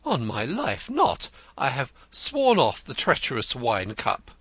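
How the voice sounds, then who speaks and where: Denzil, a man voicing a high, gushing vain poet. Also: huge pitch swings, slow, a bit bright, husky, dry recording, heavily acted. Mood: effusive. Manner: florid, gushing, stammers when pressed. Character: vain, theatrical male poet, nervous and effusive. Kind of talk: audiobook character reading.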